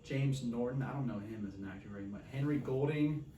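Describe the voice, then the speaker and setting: A man's voice: medium pitch, fast, mellow. Roomy, distant-sounding man on a podcast. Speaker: podcast host. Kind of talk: casual film discussion. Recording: roomy, distant mic, faint noise.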